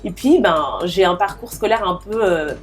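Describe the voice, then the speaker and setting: A woman's voice: medium pitch, normal speed, faint music behind. A woman in her thirties from the Paris region, a guest in an interview who talks casually with frequent fillers. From Paris region, France. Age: 30s. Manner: chatty, with many fillers and restarts. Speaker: guest entrepreneur.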